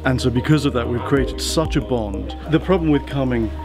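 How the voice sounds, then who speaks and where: A man's voice: medium pitch, normal speed. A man, gushing in casual chat while shopping a flea market, effusive and theatrical. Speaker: interior designer, show host. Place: indoor flea market stalls and car interior. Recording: music bed underneath.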